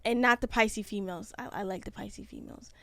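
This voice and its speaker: A woman in her twenties hosting a podcast, chatting casually in an animated, slangy way. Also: medium pitch, brisk, creaky, no echo. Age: 20s. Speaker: podcast host.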